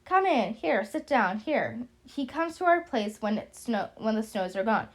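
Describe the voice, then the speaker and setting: A woman voicing a jolly, tipsy, toothless old French woman for an audiobook, with a squeaky voice and effusive, broken English. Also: very animated, measured pace. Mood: welcoming. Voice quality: high-pitched, squeaky, squealing. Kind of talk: audiobook character voice.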